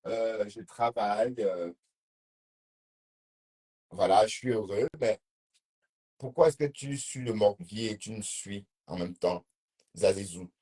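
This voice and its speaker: Husky man with a Cameroonian accent. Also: on the low side, slow, pauses often, warm tone. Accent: Cameroonian French. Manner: reads viewer comments and answers them, rambling with restarts. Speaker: live streamer. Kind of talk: casual live-stream talk to viewers.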